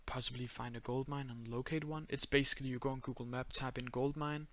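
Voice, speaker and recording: A young man with a Danish accent giving a step-by-step screen-recorded tutorial in a flat, monotone voice full of "uh"s and "um"s. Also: medium pitch, fast talker, lo-fi sound. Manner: monotone, many fillers. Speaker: tutorial creator.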